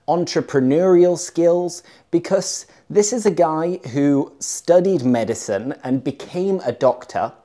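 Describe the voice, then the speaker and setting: Man from leeds, young, english teacher, chatty and upbeat, warm mellow tone. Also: a little high. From Leeds, England. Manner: chatty, upbeat, lots of stressed words. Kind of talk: YouTube English lesson to camera.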